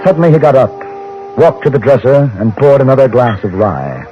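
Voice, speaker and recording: A man playing a composed gentleman newspaper correspondent, narrating an old-time radio western in measured, formal language. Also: medium pitch, animated, faint music behind, natural voice. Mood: measured narration. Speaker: lead actor and narrator. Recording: dull vintage radio recording.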